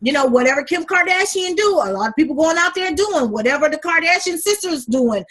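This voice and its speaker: A woman co-hosting a casual talk show, animated and sassy, calling listeners honey. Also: high voice, brisk. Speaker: podcast co-host. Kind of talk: casual chat.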